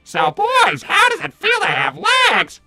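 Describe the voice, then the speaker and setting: A man voicing a boastful, dim, excitable male cartoon robot in a brash, showy livestream roleplay, with stuttering repeats. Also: very high voice, unhurried, vocal fry, soft background music, exaggerated voice. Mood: excited, showy. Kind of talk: improvised in-character livestream roleplay.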